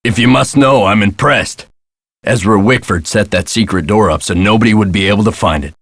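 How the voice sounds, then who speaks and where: Gruff, deep-voiced hotel caretaker. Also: male voice, a little fast, a bit bright, no room sound, studio quality. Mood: wry, reflective. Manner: folksy, sardonic, exasperated colloquial talk. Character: gruff, put-upon castle hotel caretaker man. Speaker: caretaker and front desk clerk. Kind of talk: scripted video game character lines.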